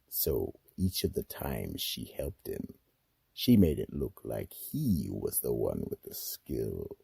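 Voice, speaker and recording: An actor playing a grave, ominous narrator of a radio mystery story, with a husky, gravelly voice. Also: male voice, a bass voice, mellow, no echo, full character voice.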